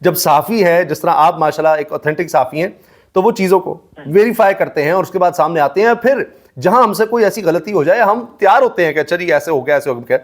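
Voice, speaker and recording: A man's voice: high-pitched, fairly quick. A Pakistani man hosting a conversational interview, agreeable and often giving short acknowledgements. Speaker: interview host, media journalist.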